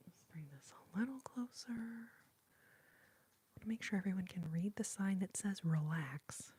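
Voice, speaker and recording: A woman from Texas whispers close to the microphone in a very breathy, husky hush. Her voice is mellow and dark, and she talks slowly and gently. She chats with her livestream viewers and often giggles. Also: mid-range voice, animated. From Austin, Texas. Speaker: ASMR livestream host. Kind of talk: whispered ASMR livestream chat.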